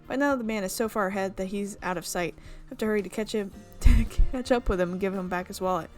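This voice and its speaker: A woman's voice: mid-range voice, fast, a little mellow, soft background music. Chatty young woman reading a visual novel. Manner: chatty, amused asides, laughs at the script. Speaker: visual novel let's player. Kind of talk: reading a game aloud with casual commentary.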